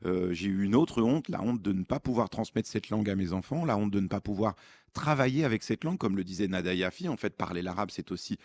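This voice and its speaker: Deep-voiced man in his forties, earnest. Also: animated, fast, a little mellow, no echo, studio quality. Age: 40s. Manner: reflective, earnest, with many hesitations. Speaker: journalist and author, studio guest. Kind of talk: radio interview answer. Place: radio studio.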